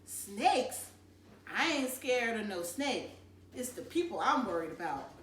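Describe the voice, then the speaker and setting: A woman's voice: a little high, sing-song, takes it slowly, fairly bright tone, exaggerated voice. A woman voicing a cool, tough-talking boy with offhand bravado. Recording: roomy, distant mic, faint noise.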